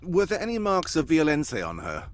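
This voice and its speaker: A man playing a probing, wry English gentleman detective in a live old-time radio mystery. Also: fairly high pitch, wildly swooping pitch, normal speed, slight background noise. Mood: grave, analytical. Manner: wry, inquisitive.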